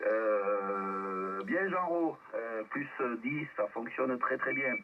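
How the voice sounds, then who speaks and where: A man's voice: medium pitch, expressive, slow pace, recorded from a distance. Man on muffled, noisy shortwave radio. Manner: spells out call signs. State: lingering cold, coughing. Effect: amateur radio. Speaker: amateur radio net controller. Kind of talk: casual ham radio exchange.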